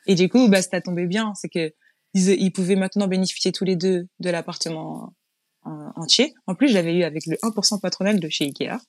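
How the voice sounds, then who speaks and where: A woman's voice: on the low side, steady tone, fairly quick, a little mellow, no echo, studio quality. Chatty Frenchwoman telling her story. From France. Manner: chatty, frank storytelling with frequent restarts. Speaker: guest, business owner. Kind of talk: casual interview conversation.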